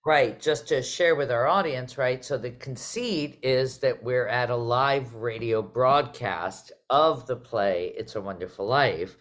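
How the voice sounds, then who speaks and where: A woman's voice: rumbling low, measured pace, few pauses. A middle-aged woman hosts a panel conversation over a video call. She is enthusiastic and poses her questions in a conversational way. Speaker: host, theatre artistic director. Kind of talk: conversational interviewing.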